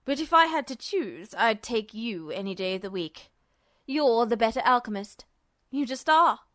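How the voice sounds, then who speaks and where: Woman voicing a smug, sadistic male villain, low-pitched. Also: lively intonation, no echo, studio quality. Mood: smug, mocking. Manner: taunting, softly drawn-out threats. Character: cold sadistic man, smug and menacing villain.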